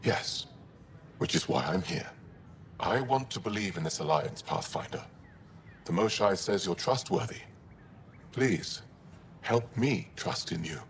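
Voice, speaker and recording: An actor playing a grave alien ambassador in a video game, guarded but hopeful, speaking in a measured, formal way. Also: male voice, low voice, animated, gravelly, heavily acted. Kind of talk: dramatic video game acting. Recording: roomy long reverb, faint background noise.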